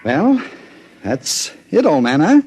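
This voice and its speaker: An actor playing a pompous, chummy retiring ruler in a 1950s radio drama. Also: male voice, a little high, sing-song, slow pace, very noisy, full character voice. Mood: brisk, chummy. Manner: pompous speechmaking, clubby and matey. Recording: remastered 1950s radio broadcast.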